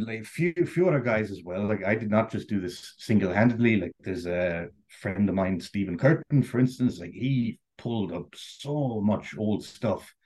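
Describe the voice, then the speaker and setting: A man's voice: lowish voice, mellow. An Irish man, a guest on an interview, telling his story in a casual, rambling way full of "you know" and "like". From Ireland.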